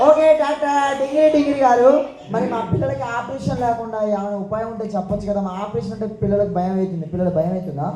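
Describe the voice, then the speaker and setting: A woman's voice: on the high side, fast, a crowd in the background. A woman hosting a puppet show for children, exclaiming and asking questions, often repeating things for them. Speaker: puppet show host at children's Sunday school. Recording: echoey room, clearly noisy background.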